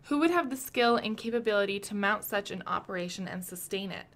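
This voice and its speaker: Woman acting determined female leader, mid-pitched, brisk, probing, blunt, commanding, radio drama. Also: lively intonation, a bit bright, natural voice. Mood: probing, urgent. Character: determined, commanding woman leading an urgent investigation.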